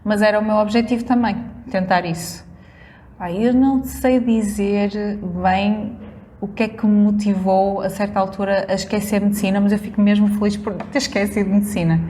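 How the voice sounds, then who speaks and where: A woman's voice: mid-range voice, steady tone, moderate pace, mellow. A woman in her thirties from northern Portugal with a northern Portuguese accent, chatting casually and laughing often as a guest. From Valongo, northern Portugal. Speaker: guest dentist. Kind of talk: casual conversation. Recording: long reverb, faint noise.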